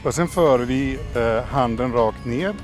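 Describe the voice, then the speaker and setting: An older man who runs a camel ranch, talking in an easygoing, halting way outdoors. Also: medium pitch, faint music behind. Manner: relaxed, hesitant with many pauses and chuckles. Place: outdoors in a field. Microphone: handheld mic held by interviewer.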